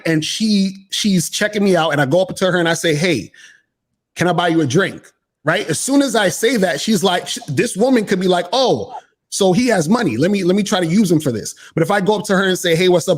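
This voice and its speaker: Man, insistent, keeps circling back, somewhat high voice. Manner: insistent, repeats "what I'm saying". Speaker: podcast co-host. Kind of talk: casual debate.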